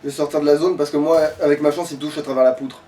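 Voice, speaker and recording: A man's voice: on the high side, fairly even intonation, racing, dark tone, a little hiss or hum. A man chatting casually while he plays a video game, informal and sweary, often questioning himself. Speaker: let's play player commentating. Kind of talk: casual gaming chat. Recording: distant from the microphone.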